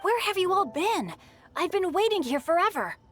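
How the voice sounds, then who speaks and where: A woman's voice: very high-pitched, sing-song, normal speed, soft background music. A woman playing a mischievous, nosy teenage girl in a game, with playful, prying delivery. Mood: impatient. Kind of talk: animated game cutscene acting.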